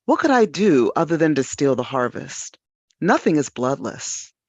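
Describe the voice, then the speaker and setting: Female poetry reader, highly inflected dramatic delivery, dull dry recording. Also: sing-song, moderate pace, darkish tone, husky, dry recording.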